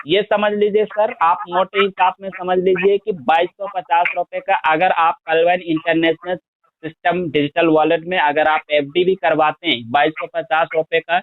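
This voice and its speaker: A man making a long sales pitch on a phone call, reeling off figures at length and pressing the listener. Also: no room sound. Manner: persistent, explains at length with long lists of figures. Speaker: scheme promoter on a phone call. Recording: tinny phone line, dry.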